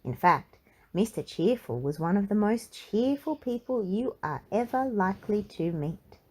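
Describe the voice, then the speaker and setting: Woman reading a kids storybook out loud, slow n expressive, mellow voice. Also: mid-range voice, husky. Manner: expressive, measured read-aloud for children. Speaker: children's book reader. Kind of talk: scripted storybook narration.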